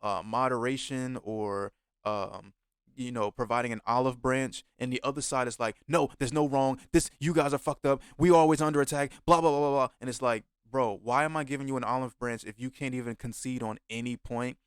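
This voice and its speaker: A man reacting to a clip he is watching, talking bluntly and swearing freely. His voice comes through smooth and clear, with a dry, close sound. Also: medium pitch, steady tone, a little fast, no echo. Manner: blunt, heavy swearing, exasperated. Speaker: streamer reacting to a video. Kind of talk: casual reaction commentary.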